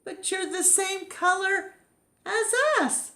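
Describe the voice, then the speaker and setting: Woman playing excitable, puzzled storybook elephants. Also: very high voice, takes it slowly, voice pushed up, exaggerated voice. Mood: astonished. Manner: exaggerated questions and exclamations.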